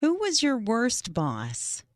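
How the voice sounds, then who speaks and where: A woman reading the interviewer's part in a scripted practice job interview, asking clear, even questions. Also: a little high, takes it slowly, no echo, clean studio sound.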